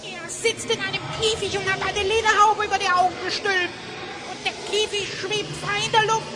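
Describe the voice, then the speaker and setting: A woman's voice: very high-pitched, little pitch movement, normal speed, a loud crowd, heavy background noise. A woman playing an anxious small sidekick in a German children's audio drama, fretful and full of questions. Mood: alarmed.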